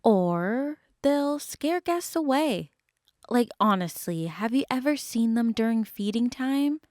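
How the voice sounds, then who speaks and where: A woman's voice: fairly high pitch, expressive, slow pace, a little mellow, no echo, studio quality. A woman playing a brash, sarcastic dinosaur park animal handler in an audio drama, talking in a wry, colloquial way. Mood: wry, grumbling.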